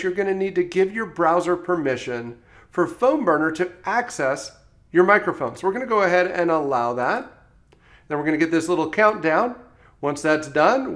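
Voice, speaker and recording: A man presenting software training in a step-by-step instructional tutorial style, as a voice-over. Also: on the high side. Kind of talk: scripted tutorial narration.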